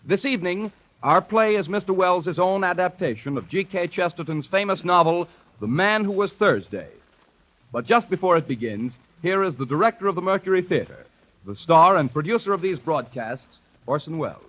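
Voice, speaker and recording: A man's voice: high-pitched, animated, moderate pace. A man doing formal, scripted network radio announcing in the 1930s style. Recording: muffled 1930s radio broadcast with music.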